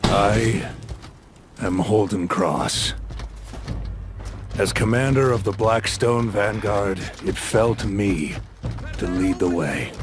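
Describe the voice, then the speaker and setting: An actor playing a stern, proud knight commander and lawbringer, narrating solemnly and with command in a game. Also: male voice, on the low side, slow, pauses often. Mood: resolute. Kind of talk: dramatic scripted game narration.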